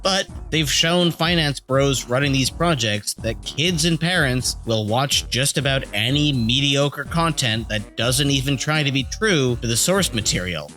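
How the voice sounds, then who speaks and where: A man's voice: medium pitch, sharp tone. A man in his thirties delivering an opinionated video essay in a sarcastic manner. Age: 30s.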